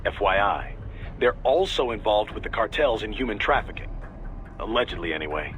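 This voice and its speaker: An actor playing a businesslike mission briefer with dry humour in a video game. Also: male voice, on the low side, expressive, moderate pace, a bit bright, lo-fi sound. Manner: matter-of-fact, dry.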